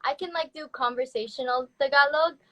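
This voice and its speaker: A woman's voice: high voice, a little fast, a bit bright. A young woman who grew up in Canada, chatting casually as host of a phone live stream and laughing between words. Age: young adult. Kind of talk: casual chat. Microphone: phone on a video live stream.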